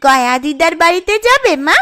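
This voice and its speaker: A woman's voice: extremely high pitch, sing-song, fairly quick, pushed and bright, full character voice. A woman voicing an earnest young village girl in a cartoon, a daughter who can sound whiny but cares. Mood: eager. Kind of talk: cartoon character dialogue. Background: music.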